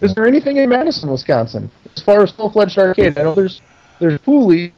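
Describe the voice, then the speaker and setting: A man's voice: sits high, sing-song, a little fast, muffled recording. A middle-aged American man chatting as an interview guest, plain-spoken and folksy. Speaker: podcast guest, pinball maker. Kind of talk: casual interview chat.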